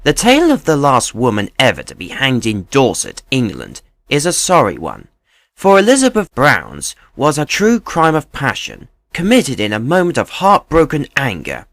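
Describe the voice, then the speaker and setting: A man narrating a true tale as scripted, dramatic storytelling in a measured, grave manner. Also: mid-range voice, wildly swooping pitch, fairly bright tone, dry recording.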